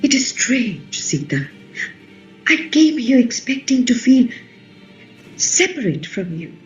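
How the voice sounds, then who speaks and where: Fierce, slow-spoken ancient queen acted by a woman. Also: a little high, sing-song. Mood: wondering. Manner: slow, declamatory, emphatic. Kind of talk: dramatic play reading, radio play. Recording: muffled, roomy recording with music behind.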